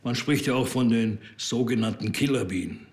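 An actor playing a learned, pedantic bee expert who lectures formally and precisely in a TV comedy. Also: male voice, mid-range voice, moderate pace, lightly acted. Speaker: actor in a police comedy series. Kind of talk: scripted TV drama dialogue.

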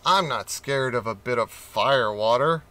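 A confident hippo, as a male reader puts him on, matter-of-fact and boastful. He speaks slowly, in a bright tone. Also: medium pitch.

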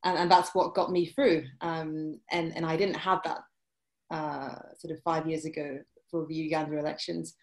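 A woman in her forties with a British accent, answering interview questions over a video call, halting with many restarts. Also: low voice, little pitch movement. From UK and France. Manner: hesitant, many restarts and fillers. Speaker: guest, journalist. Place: indoor room, video call. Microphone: laptop webcam mic.

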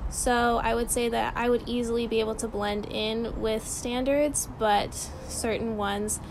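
21-year-old woman, slightly high voice. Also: little pitch movement, unhurried, noisy background. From Seattle. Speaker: student interviewee. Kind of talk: casual interview answers.

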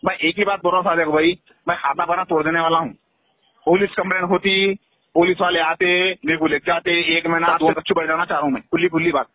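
Male caller, Hyderabadi accent. Rapid, high voice, insistent and coarse-tongued, over a phone. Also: steady tone, slightly rough, no echo. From Hyderabad, India. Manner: insistent, repetitive, swearing. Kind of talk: heated informal phone conversation. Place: telephone call, played in a news upload. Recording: tinny phone call recording.

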